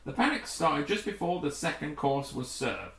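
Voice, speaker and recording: Animated male story narrator in an echoey room. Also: on the high side, moderate pace. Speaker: audiobook narrator. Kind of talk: scripted story narration with acted dialogue. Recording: echoey, roomy home recording.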